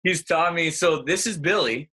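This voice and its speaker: A man hosting a casual chat in an excitable, playful way. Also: a little high, moderate pace, breathy edge. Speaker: host.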